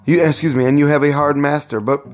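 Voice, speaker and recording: A man's voice: fairly high pitch, steady tone, fast talker, soft background music, voice pushed up, natural voice. A man reading aloud and voicing a young mother who is anxious and tender, speaking in a pleading, emotional way.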